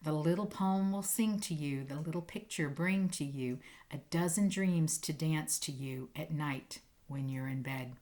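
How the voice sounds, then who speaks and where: Playful woman reading poems, slightly low voice. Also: unhurried, few pauses. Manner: playful, animated, emphatic on sound words; encouraging, enthusiastic. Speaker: teacher reading poems to pupils. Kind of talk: expressive poem reading and casual chat to children.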